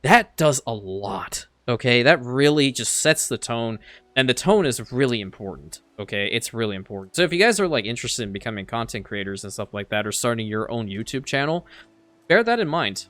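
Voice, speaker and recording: A man whose first language is Farsi talks through a city-building game in a relaxed, chatty way. His voice is bright and forward, and it often stumbles into a repeated word before he carries on. The sound is close and dry, with no room echo. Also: medium pitch, a little fast. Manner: chatty, repeats words as he restarts sentences. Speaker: let's play creator. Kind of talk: casual gameplay commentary.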